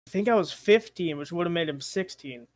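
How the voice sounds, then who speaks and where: A man's voice: fairly high pitch, lively intonation, a little fast, dry recording. A young man in a casual chat with friends, working things out aloud and second-guessing himself. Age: young adult. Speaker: guest friend answering questions.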